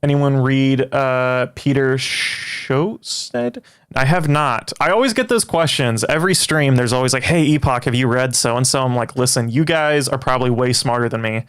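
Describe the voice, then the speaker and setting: A young man, a native Greek speaker, chatting with his livestream audience in a rambling, halting way with many restarts. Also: mid-range voice, a bit bright, clean tone. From Greece. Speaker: livestream host. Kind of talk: casual livestream chat.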